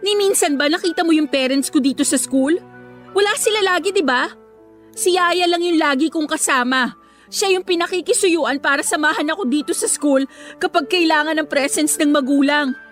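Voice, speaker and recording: A teenage schoolgirl in a Filipino radio drama, with a very high, girlish voice and a Filipino accent. She is earnest and wounded, defending herself with emotional reproach. Music plays behind her. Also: moderate pace, a bit bright. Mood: hurt, pleading.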